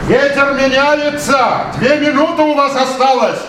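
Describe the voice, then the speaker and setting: An actor playing a gruff, exasperated boatman in a radio play, with a folksy, scolding way of talking. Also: very high voice, steady tone, unhurried. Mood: exasperated, urgent. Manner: folksy, scolding, colloquial speech. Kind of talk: 1980s radio play acting. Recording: roomy, faintly hissy radio drama recording.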